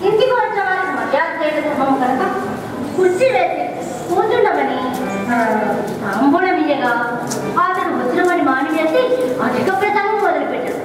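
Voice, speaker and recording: A woman playing a proud, indignant young courtesan in a stage play, making sharp, declamatory retorts. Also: very high voice, lively intonation, moderate pace, long pauses, a crowd in the background. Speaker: stage actor. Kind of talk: Telugu stage play acting. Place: theatre stage. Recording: echoey hall, distant mic, music.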